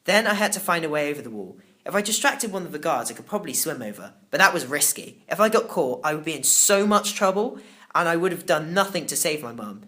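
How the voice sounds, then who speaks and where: A man narrating a children's novel in the first person, expressive and theatrical. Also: fairly high pitch, fast talker. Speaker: audiobook narrator.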